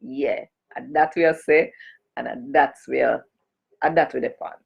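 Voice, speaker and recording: A woman's voice: very deep voice, lively intonation, slow, gravelly, breathy edge, highs cut off. A woman from Jamaica chatting casually on a live stream as a cultural advocate, greeting and speaking straight to her viewers. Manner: chatty, greets and addresses viewers directly, gives blessings.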